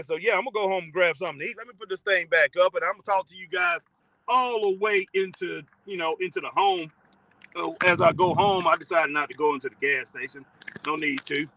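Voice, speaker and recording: Live-stream host, male, rambling and conversational, talking into a phone in his car, sharp tone. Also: high-pitched, a little hiss or hum, rough recording. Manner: rambling, chatty, reads viewer comments aloud. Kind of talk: casual live-stream chat.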